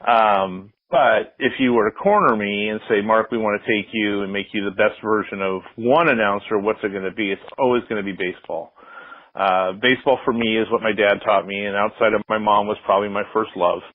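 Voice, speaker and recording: Low-voiced American man on a roomy, thin line. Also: fairly quick. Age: middle-aged. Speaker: interview guest, sports public address announcer. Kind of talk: casual conversational interview answers. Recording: roomy, slightly muffled phone-like line.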